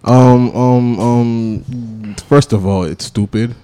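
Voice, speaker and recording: A man's voice: medium pitch, fairly even intonation, slow, mellow, clean tone. A man from Nigeria who hosts a podcast, arguing his point emphatically in a casual debate, repeating and restarting his words. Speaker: podcast host.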